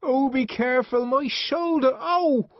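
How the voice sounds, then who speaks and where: A man voicing a distraught, bitter and volatile mother in an audiobook, with a strained, shrill voice. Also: a little slow, no room sound, highs cut off. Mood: pained screaming. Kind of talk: audiobook character voice.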